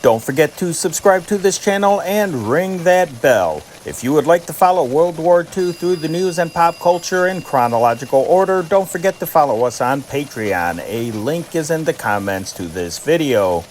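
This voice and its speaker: Man reading news with very varied pitch. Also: expressive, moderate pace, hardly any pauses, a little mellow, slight background noise. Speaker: narrator and channel host reading historic news dispatches.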